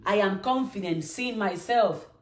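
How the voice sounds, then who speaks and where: Slow woman chanting affirmations, echoey room. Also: mid-range voice. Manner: bursts into excited cheering. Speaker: motivational live-stream host. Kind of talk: affirmations and live Q&A.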